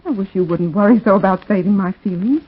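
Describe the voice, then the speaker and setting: An actress playing a wistful widowed actress who talks frankly and earnestly with old friends, in 1940s radio drama style. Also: female voice, mid-range voice, fast talker, slightly rough, lightly acted. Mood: earnest, pained. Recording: muffled 1940s radio recording.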